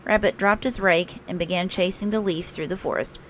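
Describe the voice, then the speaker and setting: A woman narrating a children's story aloud in an expressive read-aloud style, like a teacher. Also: fairly low pitch, a little fast, a little hiss or hum.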